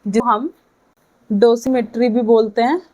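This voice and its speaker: Slow, higher-pitched Indian woman lecturing. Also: steady tone, a little mellow, highs cut off. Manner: halting delivery in short phrases, checking in with listeners. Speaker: physiotherapy lecturer. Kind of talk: lecture explanation for students.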